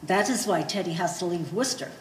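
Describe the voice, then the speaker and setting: A woman's voice: fairly low pitch, expressive, fast talker, natural voice. A woman playing a blunt, scornful old Polish immigrant mother in a stage play, speaking broken English with a Polish accent. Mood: scornful, animated. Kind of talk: one-act stage play performance. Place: gallery stage performance, indoors. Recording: echoey hall with faint noise.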